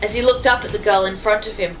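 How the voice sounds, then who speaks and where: A woman's voice: mid-range voice, fairly even intonation, fast talker, a bit bright, rough recording. A woman reading fan fiction aloud in an amateur style, breaking off for casual banter. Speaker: reader.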